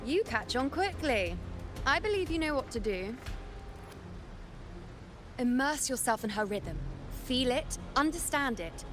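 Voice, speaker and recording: An actress playing a calm, knowing mentor in a video game, speaking in a measured, deliberate, instructive way. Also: female voice, high-pitched, over music. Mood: calm, guiding. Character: calm, knowing female mentor, composed and cryptic. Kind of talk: dramatic video game acting.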